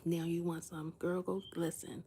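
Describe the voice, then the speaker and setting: A young woman live-streaming indoors in someone else's house, chatting casually with her viewers in a hushed, low voice that often drops to a whisper, and acting out the voices in her stories. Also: normal speed, very noisy. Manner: animated storytelling, acting out dialogue.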